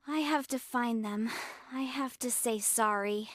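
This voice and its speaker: Casting: a woman to play a sorry little girl for a bedtime story, high, unhurried voice, earnest and pleading. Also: dry recording, lightly acted. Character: sad, sorry little girl missing her toys. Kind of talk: children's bedtime story character voice.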